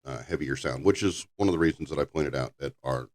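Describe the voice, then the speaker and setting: An older man with a very low voice, hosting a casual podcast chat, rambling and halting with frequent restarts. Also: darkish tone. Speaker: podcast host.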